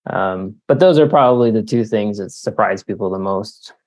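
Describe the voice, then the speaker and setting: A man's voice: lowish voice, normal speed, darkish tone. A man from Canada talking casually as an interviewed author, explaining things in a hesitant, wordy way.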